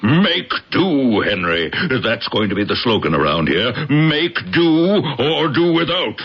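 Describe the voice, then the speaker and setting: Blustering, preachy boss in old radio drama; husky gravel, animated swings. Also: male voice, mid-range voice, measured pace, heavily acted. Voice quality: gravelly, rough. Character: domineering, stingy factory boss, pompous and self-satisfied. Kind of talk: early 1980s radio drama acting. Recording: dull old radio broadcast.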